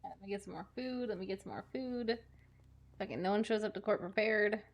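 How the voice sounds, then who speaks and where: A woman's voice: medium pitch, fairly quick. A woman running a judge character in a roleplay game, chatting casually and laughing easily, with dramatic pleading asides.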